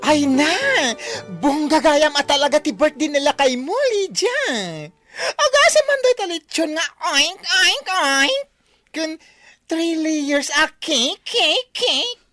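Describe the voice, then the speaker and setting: A woman playing an excitable, easily impressed village gossip in an Ilocano radio comedy, exclamatory and dramatic, with an Ilocano accent. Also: sits high, slow pace, no room sound, clean studio sound, faint music behind, exaggerated voice. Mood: impressed, excited.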